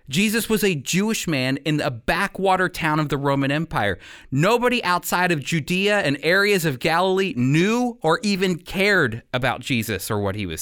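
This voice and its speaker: A man giving an expository Bible teaching as a radio program teacher, speaking emphatically and addressing listeners directly with pauses for emphasis. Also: a little high, fairly bright tone.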